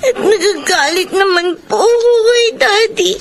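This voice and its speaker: A woman's voice: very high voice, normal speed, a little hiss or hum. An actress playing a tearful, hurt young granddaughter, crying as she speaks in a Filipino radio drama. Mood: tearful, angry.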